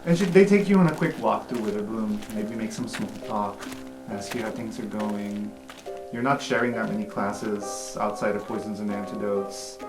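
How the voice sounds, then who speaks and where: A man's voice: on the low side, normal speed. A man narrating an improvised tabletop game as game master in a casual, conversational way. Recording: roomy sound, music underneath.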